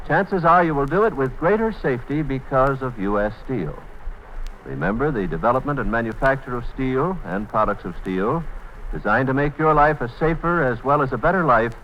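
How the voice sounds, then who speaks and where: Animated male vintage radio announcer. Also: medium pitch, normal speed. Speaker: sponsor announcer. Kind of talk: 1950s radio commercial, scripted. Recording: dull, faintly noisy 1950s radio recording.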